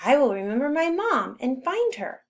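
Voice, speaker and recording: A woman's voice: high voice, big pitch swings, normal speed, voice pushed up. A woman voicing an earnest, hopeful orphan boy inventor in a storybook read-aloud. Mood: hopeful, determined. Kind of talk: storybook character reading.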